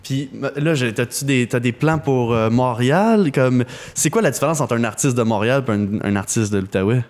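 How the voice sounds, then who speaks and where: A man's voice: mid-range voice, a little mellow. Easygoing male podcast host. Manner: easygoing, quick affirmations, laughs along. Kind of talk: casual podcast interview.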